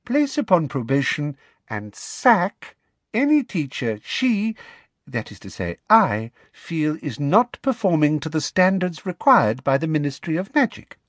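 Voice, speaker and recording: A man voicing a cruel woman official with a high girlish voice and cold, precise diction. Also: sing-song, unhurried, dry recording. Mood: gloating. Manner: sweetly cold, precise, gloating.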